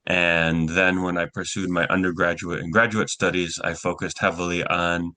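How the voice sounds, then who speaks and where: Deep, flat-voiced man methodically listing. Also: normal speed. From United States. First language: Ukrainian. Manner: methodical, lists things item by item. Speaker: guest journalist. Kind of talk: interview answers.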